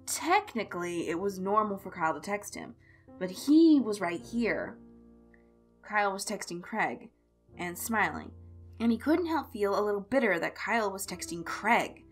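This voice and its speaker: A woman reads a scripted story as an expressive narrator. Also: medium pitch, normal speed. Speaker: fan fiction narrator. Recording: music behind the voice. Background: instrumental music.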